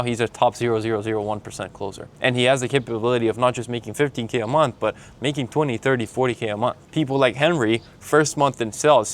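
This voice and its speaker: A young man with a Mexican Spanish accent and Spanish as his first language, giving a blunt, motivational talk to his camera while traveling. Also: medium pitch. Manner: blunt, pep-talk delivery, calling the listener man and bro. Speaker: sales coach. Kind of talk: motivational vlog monologue. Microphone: handheld camera filming himself.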